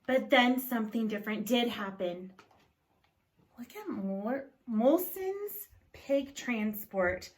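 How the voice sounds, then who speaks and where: A woman with a fairly high voice reads a children's picture book aloud. She goes very slowly and deliberately, often turning to her young listeners with questions. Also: lively intonation. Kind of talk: read-aloud storytelling with asides to young listeners.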